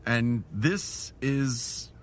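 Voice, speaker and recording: A man's voice: medium pitch, expressive, very slow, noisy background. A man acting a cheerful, folksy fellow in a pulp audio drama. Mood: upbeat. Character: cheerful, folksy man trying out a new leg.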